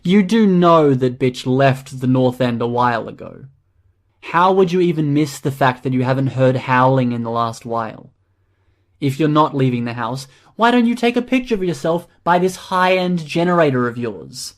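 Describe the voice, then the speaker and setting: A man's voice: medium pitch, lively intonation, moderate pace, lightly acted. A man voicing an angry, scornful internet forum poster in an audiobook, sarcastic and accusing. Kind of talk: audiobook character acting.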